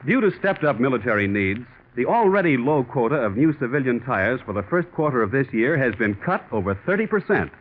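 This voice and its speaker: A man's voice: mid-range voice, sing-song, brisk, few pauses. A man delivering polished, emphatic sponsor announcements as a 1940s radio announcer. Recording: tinny, hissy 1940s radio broadcast.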